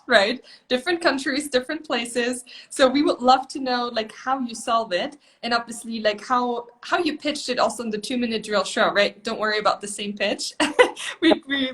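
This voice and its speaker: A woman hosting a live video call interview, upbeat and encouraging, often laughing and filling in with quick affirmations. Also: a little high, a bit bright. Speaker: host.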